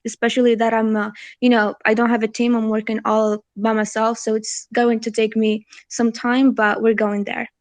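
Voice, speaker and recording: Female, late teens, higher voice with flat intonation, earnest informal pitch as a guest, dry close sound. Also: normal speed, hardly any pauses, no room sound. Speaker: guest, creator promoting a project.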